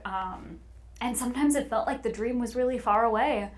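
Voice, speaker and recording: Higher-voiced woman telling her story casually. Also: normal speed. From United States and United Kingdom. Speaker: voice actress, podcast guest.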